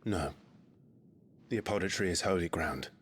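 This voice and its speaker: A man voicing a brooding, guarded young warrior in a video game, with a British accent and a gruff, solemn, terse delivery. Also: low-pitched, normal speed, slight background noise, lightly acted. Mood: solemn explaining. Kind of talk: video game cutscene voice acting.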